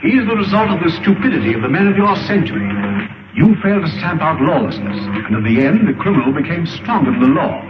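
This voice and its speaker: Learned future scientist-leader: formal, professorial, emphatic, animated intonation, roomy vintage film sound. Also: male voice, on the high side, fast talker. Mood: grave, earnest. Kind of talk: 1930s film serial acting. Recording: dull, hissy old film soundtrack, roomy.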